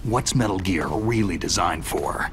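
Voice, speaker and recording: An actor playing a gruff, hardened lone soldier in a dramatic video game, speaking tersely and bluntly. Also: male voice, lively intonation, measured pace, fairly bright tone, slight background noise, full character voice. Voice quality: gruff, low.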